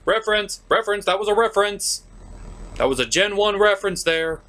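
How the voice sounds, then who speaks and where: A man's voice: sits high, a little fast, pushed and bright, soft background music. A man delivering scripted comedic review commentary as a show host, emphatic and exasperated, with some swearing.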